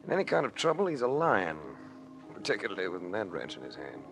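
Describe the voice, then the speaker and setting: An actor playing a wry, hard-boiled investigator in a 1940s radio adventure. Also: male voice, on the low side, wildly swooping pitch, fast, vocal fry, over music, heavily acted. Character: wry, cool male adventurer-investigator narrating his case. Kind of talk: 1940s radio acting, first-person narration. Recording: noisy old 1940s radio recording.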